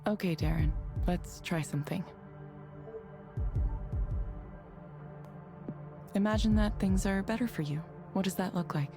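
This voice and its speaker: An actress playing a composed, restrained counselor, speaking in a measured and clinically polite way in a visual novel. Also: female voice, mid-range voice, lightly acted. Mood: calm, professional. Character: composed, restrained female counselor reading an AI's script. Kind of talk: naturalistic visual novel voice acting. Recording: music behind the voice.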